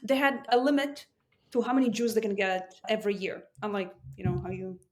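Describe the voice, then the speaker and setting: A woman's voice: medium pitch, flat delivery, brisk, a little mellow. A woman from Ukraine with an Eastern European accent, a tech CEO telling her story earnestly on a remote call. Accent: Russian-speaking Eastern European. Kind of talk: interview storytelling.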